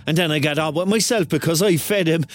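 An actor playing a rambling, excitable thirty-year-old man with a Cork accent, telling his story colloquially in a radio drama. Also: on the high side, fast talker, lightly acted. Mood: chatty. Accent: Cork Irish. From Cork, Ireland. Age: 30s. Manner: colloquial, digressive, conversational. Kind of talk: first-person radio drama narration and dialogue.

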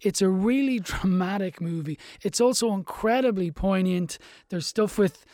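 A man's voice: sits high, normal speed, a little mellow, no echo, studio quality. A middle-aged man, interviewing a filmmaker in a chatty, enthusiastic way. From Ireland. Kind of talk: conversational interview.

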